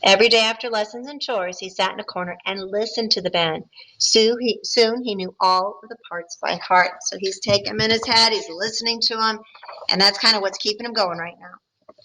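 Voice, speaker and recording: Bright-voiced teacher reading a storybook. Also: female voice, medium pitch, brisk, a little hiss or hum, low-quality recording. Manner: expressive, chatty asides to listeners. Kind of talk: storybook read-aloud with comments.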